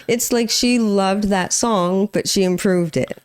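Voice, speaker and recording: A woman's voice: mid-range voice, measured pace, a little mellow, smooth. A woman co-hosting a music reaction video, chatting casually with her partner and laughing easily. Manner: chatty, laughs often, upbeat sign-off. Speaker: co-host of a music reaction channel.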